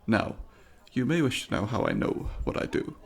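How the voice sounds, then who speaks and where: Man playing a gravelly, polite alien student. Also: medium pitch, wildly swooping pitch, normal speed, exaggerated voice. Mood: careful, reassuring. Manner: formal, precise, inquisitive and apologetic. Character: polite, eager alien student studying humans. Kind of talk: ASMR roleplay, addressing the listener.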